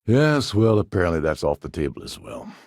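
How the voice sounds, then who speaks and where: A man playing a roguish, wry starship captain and ex-pirate in a video game, a sardonic schemer with a gravelly, husky voice. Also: low voice, big pitch swings, a little fast, a little mellow, dry recording, clean studio sound. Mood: peeved, sardonic. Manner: sardonic, smooth-talking schemer. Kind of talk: video game character dialogue.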